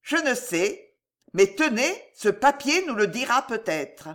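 Man voicing a high, theatrical scheming queen. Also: sing-song, slow pace, fairly bright tone, exaggerated voice. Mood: feigned innocence. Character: scheming queen feigning innocent surprise. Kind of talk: dramatic audiobook character voice.

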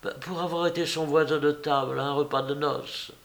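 A shy, lovesick young man of an audiobook comedy, acted out by a male reader. His voice sits higher, and he speaks hesitantly and politely, stammering as if easily flustered. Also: steady tone, brisk, creaky. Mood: shy, lovestruck. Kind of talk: audiobook character voice.

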